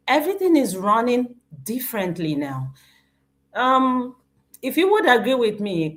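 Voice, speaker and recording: Slow, earnest woman hosting a live stream. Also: medium pitch.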